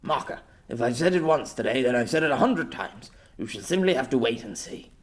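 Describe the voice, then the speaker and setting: A man's voice: medium pitch, expressive, fairly quick, close to an everyday voice. A man voicing a stuffy, proud old former knight with a formal, hesitant manner, in an audiobook story. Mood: firm, patient.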